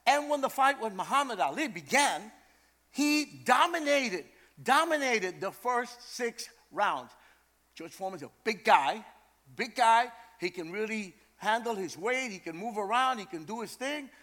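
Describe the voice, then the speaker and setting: An older man from Brooklyn, preaching in a storytelling style, animated and emphatic, repeating phrases for effect. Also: high voice, measured pace. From Brooklyn, New York, USA. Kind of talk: storytelling sermon.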